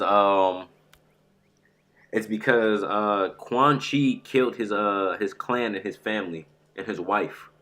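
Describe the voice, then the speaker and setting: Stuffy, excitable male game streamer. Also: lowish voice. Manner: excitable, rambling, says "bro" a lot. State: stuffy from a sore throat. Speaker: gaming streamer. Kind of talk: rambling live-stream chat.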